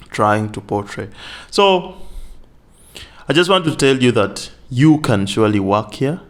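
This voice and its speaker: Man, from Africa, low voice, earnest, insistent advice vlog. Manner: earnest, insistent, repeats points for emphasis. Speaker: vlogger giving advice on teaching in Vietnam. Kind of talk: direct-to-camera advice talk.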